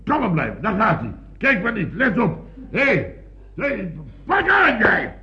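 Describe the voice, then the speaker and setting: An actor playing a pompous, excitable boss of a building firm, sputtering and repeating himself in an old radio comedy. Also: male voice, sits high, a little slow, heavily acted. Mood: panicky. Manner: sputtering, pompous, repeats words, mock-solemn exclamations. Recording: dull vintage radio recording.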